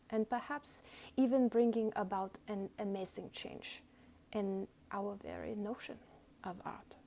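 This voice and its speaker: A woman reads an essay aloud as a dramatic performance, deliberate and theatrical, with pointed pauses. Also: medium pitch, creaky, darkish tone. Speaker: reader. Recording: noticeable background noise.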